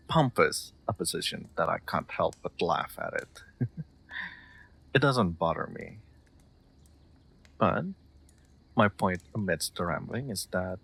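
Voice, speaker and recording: A man playing a gentle, wry nobleman in an intimate audio roleplay, soft-spoken and a little hesitant, with formal wording. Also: deep voice, vocal fry, breathy edge, exaggerated voice. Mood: amused, musing. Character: gentle, wry young nobleman comforting a guest. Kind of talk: intimate scripted audio roleplay, addressed to listener.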